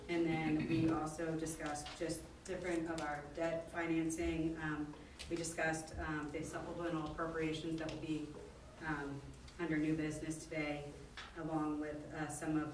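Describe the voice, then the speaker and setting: A woman with a very low, flat voice steadily reads out dates and sums, with the odd "um". She sounds far from the mic in a reverberant council chamber with a constant hum underneath. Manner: steady reading of dates and figures, with fillers. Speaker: council member chairing finance committee. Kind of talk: committee report at a council meeting. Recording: echoey chamber, distant mic, background hum.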